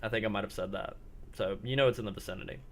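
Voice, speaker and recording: A man running a roleplay game as game master, improvising descriptions in halting, paused phrases. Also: fairly low pitch, a little hiss or hum.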